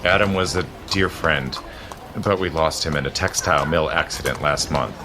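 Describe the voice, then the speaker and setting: An actor playing a suave, zealous immortal gentleman in a period audio drama, with a smooth, persuasive, formal manner. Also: rumbling low, normal speed, noisy background. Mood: gentle, reassuring. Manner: smooth, persuasive, theatrical, genteel formal phrasing.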